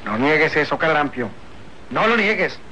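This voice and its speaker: A man's voice: a little high, very animated, normal speed, pushed and bright. A man playing a bold, hot-tempered young rancher hero in a radio serial, emphatic, with a Mexican accent. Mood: demanding. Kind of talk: old radio serial acting. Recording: faintly noisy old radio recording.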